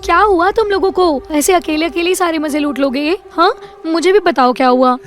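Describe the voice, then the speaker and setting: A woman's voice: very high voice, fairly quick, a little hiss or hum. A woman acting a vain, snobbish young glamour girl in an audio drama. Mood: playful.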